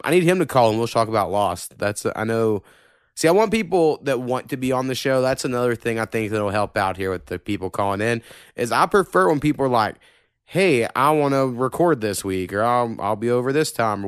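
A man's voice: mid-range voice, expressive, brisk, clean tone, no echo, clean studio sound. A man with a slight Oklahoma country accent hosting a podcast, rambling and swearing freely in casual chat. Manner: profane, rambling and opinionated. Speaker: podcast host.

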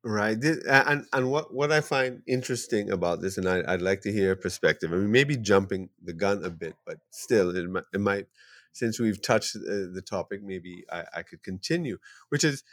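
A man hosting an interview podcast, pausing often and restarting his sentences. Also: on the low side, animated, a bit bright, no echo, clean studio sound. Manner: hesitant, many fillers and restarts. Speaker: podcast host.

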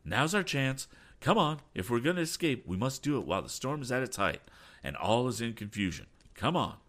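A man voicing a bold, confident sea captain in an adventure storybook, with a brisk, commanding manner. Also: on the low side, sing-song, voice pushed up. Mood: urgent, commanding. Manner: urgent, exclamatory, commanding. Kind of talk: audiobook adventure character.

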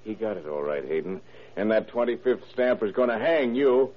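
Man acting a deep-voiced, gruff police detective. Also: animated, normal speed, natural voice. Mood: wry, stern. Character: shrewd, calm police detective in charge of a stakeout. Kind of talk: 1930s radio crime drama acting. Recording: muffled old radio broadcast recording.

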